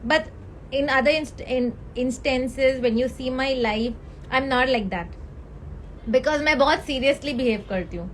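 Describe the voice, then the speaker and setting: Indian woman host, high youthful voice, earnest, mixes English and Hindi, faint room ambience. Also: brisk, slight background noise, rough recording. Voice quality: high, youthful voice others call a baby voice. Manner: switches between English and Hindi mid-sentence; earnest, asks the audience questions. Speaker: host of a live mental health talk. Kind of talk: casual talk to a live audience.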